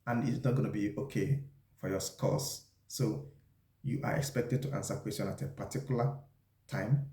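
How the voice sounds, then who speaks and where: A man presenting an instructional talk, explaining things deliberately and speaking straight to the viewer. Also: fairly low pitch, fairly even intonation, mellow. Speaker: video presenter giving job interview advice. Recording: echoey, roomy sound.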